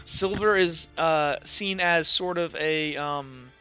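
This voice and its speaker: High-voiced, bright male game master. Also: clear, resonant tone. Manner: halting, thinking out loud. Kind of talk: casual tabletop game talk. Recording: slightly dull, music behind. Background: background music.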